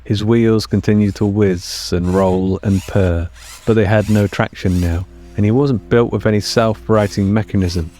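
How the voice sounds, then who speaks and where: Low-voiced man narrating a story. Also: fairly even intonation, moderate pace, mellow. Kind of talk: scripted fiction narration, audio storytelling. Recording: music under the narration.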